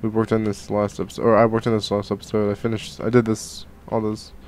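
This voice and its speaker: A man's voice: fairly low pitch, monotone, fast talker, a little mellow, slight background noise. A young man with a cold, rambling through casual game commentary from a home gaming room, full of fillers and restarts. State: catching a cold. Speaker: gaming channel host. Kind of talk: casual Minecraft let's play commentary. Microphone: headset and studio mic at desk.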